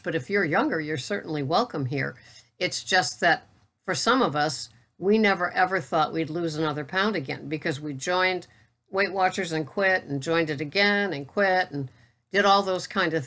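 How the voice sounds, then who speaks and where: This is a woman in her sixties with a notably low voice, chatting to her viewers in an unhurried, even way. She calls her own delivery a monotone, but she keeps it plain and matter-of-fact, with the odd wry aside. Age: 60s. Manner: steady, matter-of-fact, self-described monotone. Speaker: keto vlogger and coach. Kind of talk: casual talk to camera.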